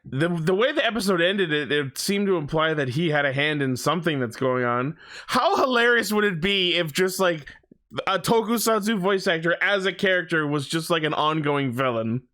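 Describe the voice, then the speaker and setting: Man, fairly high voice, casual fan discussion, informal, sweary podcast co-host. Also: animated, normal speed, pushed and bright.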